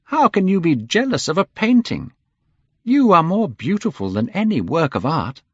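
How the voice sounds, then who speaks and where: A man's voice: on the high side, animated, measured pace, fairly bright tone, no room sound, pitched above the performer's own voice. A man voicing a hurt, earnest male painter who speaks with emphasis. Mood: pained. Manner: emphatic and wounded. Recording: slightly muffled dry recording.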